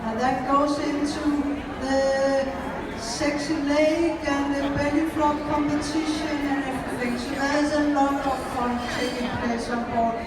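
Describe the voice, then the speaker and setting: A high-pitched middle-aged woman from Denmark, speaking as a ship captain in a formal, measured way. Her voice booms through a PA in a huge echoing hall, picked up from far off. A loud crowd murmurs beneath her. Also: flat delivery. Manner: formal, measured, with filler pauses. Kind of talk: welcome speech introducing crew. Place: large ship atrium with crowd.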